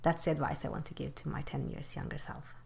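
A woman co-hosts a laid-back podcast conversation with an encouraging, playful manner. Her voice sits slightly low for a woman. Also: little pitch movement, fast, vocal fry, a little mellow, a little hiss or hum. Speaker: podcast co-host. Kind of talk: casual conversation.

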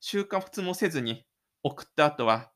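Higher-pitched man narrating an audio drama. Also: lively intonation, measured pace, fairly bright tone. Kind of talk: scripted narration, storytelling.

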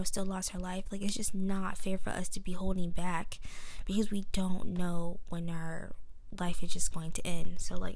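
Woman in her 20s, girlish voice, candid solo talk from her bedroom mic, emphatic with whispered asides. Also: a little mellow. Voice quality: high, girlish voice. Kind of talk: personal monologue. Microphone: microphone in her room.

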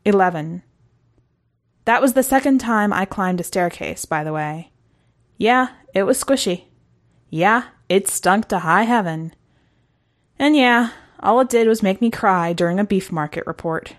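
A woman's voice: mid-range voice, expressive, measured pace. A woman reading a first-person short story aloud in a conversational, wry way. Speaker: story narrator.

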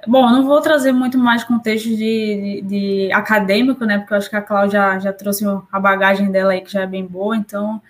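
Relaxed woman consultant on a webinar. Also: medium pitch, fairly quick, hardly any pauses. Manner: relaxed, hesitant, repeats words. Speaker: consultant and Scrum Master. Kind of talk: casual webinar talk.

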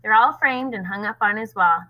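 Woman playing a quiet reluctant boy, polite. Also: mid-range voice, normal speed, close to an everyday voice, rough recording. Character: quiet withdrawn young boy.

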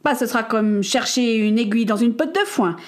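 A woman's voice: on the high side, fairly quick, natural voice. A woman voicing a curt, dismissive male immigration clerk. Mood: brusque. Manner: brusque, dismissive.

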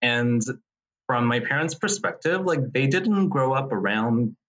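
Bright, husky man in his thirties. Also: mid-range voice, lively intonation, rough recording. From Taiwan. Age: 30s. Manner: reflective, measured, with fillers. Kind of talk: casual conversation.

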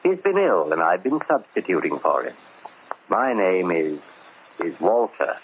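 Creepy-polite servant, real low voice, formal, old radio play, phone-like sound. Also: male voice, very animated. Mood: formal, calm. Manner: formal, deferential, measured. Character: polite, somber male caretaker of gloomy hideout. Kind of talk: old-time radio crime drama acting. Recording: tinny, slightly noisy old radio recording.

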